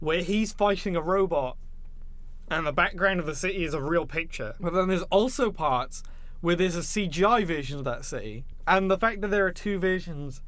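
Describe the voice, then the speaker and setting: This is a man with a fairly high-pitched voice co-hosting a casual film podcast. He talks in a jokey, sardonic way, as if among friends. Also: moderate pace, a bit bright. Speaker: podcast co-host.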